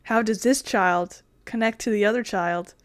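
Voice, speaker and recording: A woman's voice: mid-range voice, normal speed, dry recording, studio quality. A woman giving casual let's play commentary, rambling and playful, with the odd swear word. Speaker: gaming video host.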